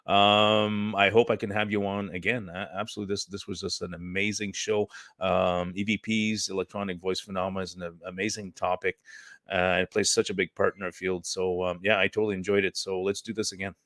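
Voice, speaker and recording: A man hosting a live-streamed talk show in a casual chatty way, hoarse and losing his voice, rambling and clearing his throat often. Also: low voice, flat delivery, a little fast, fairly bright tone, no echo, studio quality. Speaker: host.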